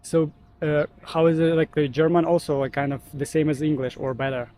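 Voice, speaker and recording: A man's voice: on the high side, fairly even intonation, brisk, mellow. A man interviewing passers-by outdoors on a city street. He asks friendly, loose questions full of "like" and speaks into a handheld mic.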